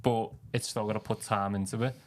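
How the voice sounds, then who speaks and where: A man's voice: on the low side, little pitch movement, a little fast, a little hiss or hum. A man hosting an informal podcast conversation, talking in an earnest, supportive way. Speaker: podcast host.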